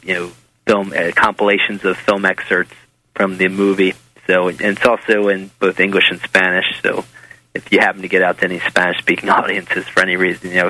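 An American man gives a casual interview as a guest. His voice is low and flat, with a bright, forceful edge. He explains things in a halting, rambling way, recorded very dry. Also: no echo, rough recording. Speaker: interview guest, author and documentary maker.

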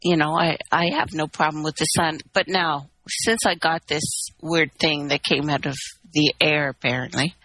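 A woman's voice: sits low, moderate pace, few pauses, a bit bright, no room sound, poor audio. A woman from Canada, telling a long personal story in a candid, rambling, wry way on a call-in chat. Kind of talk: casual phone-in chat.

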